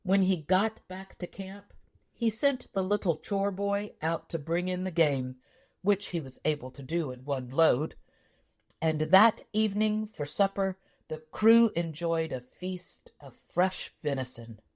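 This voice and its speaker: Slow-paced, low-voiced woman storyteller. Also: a little mellow. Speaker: audiobook narrator. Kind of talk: scripted storybook narration of a tall tale.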